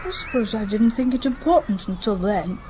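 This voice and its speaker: A woman's voice: mid-range voice, animated, fairly quick, mellow, slight background noise, close to an everyday voice. A woman playing a shy, timid schoolboy who answers politely and hesitantly in a radio drama. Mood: polite, subdued.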